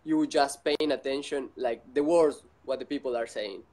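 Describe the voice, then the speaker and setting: A man who speaks English as a second language, talking casually as an interview guest in a halting, repetitive way with many fillers. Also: mid-range voice, a little mellow. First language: not English.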